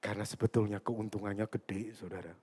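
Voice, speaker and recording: A man's voice: fairly low pitch, steady tone, a little fast, a little mellow, husky. A man preaching to a congregation in a conversational way, repeating key words for emphasis. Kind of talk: sermon addressed to a congregation, with personal anecdotes. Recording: long hall reverberation.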